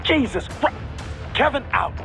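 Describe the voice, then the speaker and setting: A man's voice: very high voice, animated, a little slow, gravelly, loud music behind the voice, heavily acted. A man voicing a sardonic, cautious mission support analyst in a video game, speaking emphatically. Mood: exasperated. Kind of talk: dramatic video game voice acting.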